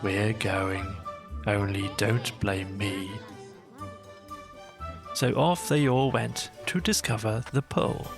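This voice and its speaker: Slow-paced bedtime storyteller, slightly gravelly. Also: mid-range voice. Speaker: audiobook storyteller. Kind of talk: cosy scripted bedtime narration.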